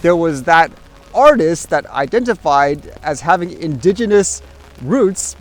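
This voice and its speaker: A man giving casual opinion commentary as a vlogger, rambling along conversationally with frequent hedging asides. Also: fairly high pitch. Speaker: vlogger host.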